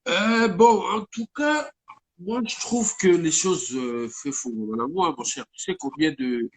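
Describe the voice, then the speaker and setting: Husky-voiced Congolese man with a cold. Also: sits high, expressive, unhurried. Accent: Congolese French. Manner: rambling, mixing French with Lingala words. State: has a head cold. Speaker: guest musician. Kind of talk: casual interview talk.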